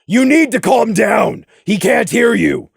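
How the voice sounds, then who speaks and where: A woman voicing a stern male pegasus pony who shouts firm, urgent warnings. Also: mid-range voice, lively intonation, measured pace, vocal fry, slightly rough, no room sound, clean studio sound, pitched above the performer's own voice, full character voice.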